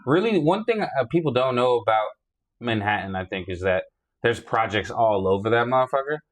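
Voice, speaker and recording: A man's voice: medium pitch, animated, a little fast. A man in his thirties from New York, a guest rapper talking in a laid-back, rambling way on a casual podcast. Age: 30s.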